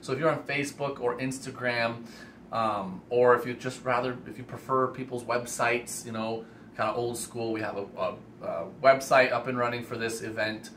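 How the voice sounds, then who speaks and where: Male vlogger, 20s-30s, eager and sincere, bright tone, filmed indoors with some background noise. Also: mid-range voice, normal speed. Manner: enthusiastic, earnest. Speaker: host, reptile expo organizer. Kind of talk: casual vlog announcement to camera. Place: indoor room lined with reptile racks. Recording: steady ambient room noise.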